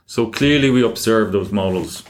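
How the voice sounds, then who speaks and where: A man speaking as an academic guest in an interview, explaining things deliberately and with emphasis. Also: fairly low pitch.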